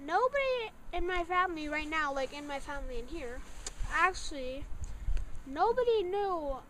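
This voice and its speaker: A child being casually interviewed as a young guest reviewer, talking haltingly and searching for words. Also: extremely high pitch, lively intonation, bright, forceful tone, a little hiss or hum.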